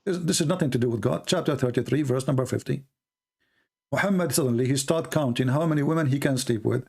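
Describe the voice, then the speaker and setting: A man's voice: medium pitch, quick pace, vocal fry, breathy edge. A man whose first language is Arabic, hosting a heated debate and pressing his points with rhetorical questions. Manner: forceful, argumentative, rhetorical questions. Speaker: debate host.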